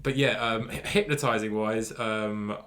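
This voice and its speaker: Bantering man, quick to laugh. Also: fairly low pitch, steady tone, fairly bright tone. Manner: banter, laughs easily, swears. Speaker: podcast co-host. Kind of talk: casual chat. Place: home kitchen.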